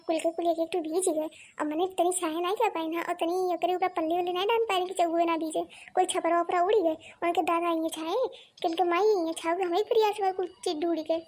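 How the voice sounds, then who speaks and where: A woman's voice: very high-pitched, a little mellow. A woman voicing a fretful, scolding village wife and mother in a comedy cartoon, with an Awadhi accent and a wailing, exclamatory delivery. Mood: angry scolding.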